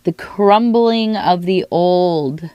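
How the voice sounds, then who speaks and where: A woman with a low, hoarse voice that is half gone from illness reads tea leaves in an unhurried, soft-spoken way. She muses aloud and reassures the listener directly, close and dry with no room sound. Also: no room sound, muffled recording. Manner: musing, reassuring, talks straight to the listener. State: hoarse, losing her voice from illness. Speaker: tea leaf reader. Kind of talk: soft-spoken divination reading, ASMR.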